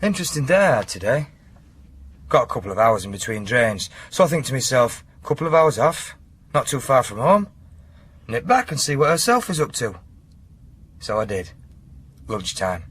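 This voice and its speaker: An actor playing a controlling, suspicious working-class husband in an intimate radio drama. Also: male voice, mid-range voice, big pitch swings, moderate pace, long pauses, fairly bright tone, breathy edge. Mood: quietly menacing.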